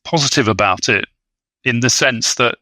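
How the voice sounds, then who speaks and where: A man talks about film as a thoughtful guest commentator. His voice is bright and forward, recorded very dry. He is scholarly and hesitant, often halting as he builds a point. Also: medium pitch, no room sound.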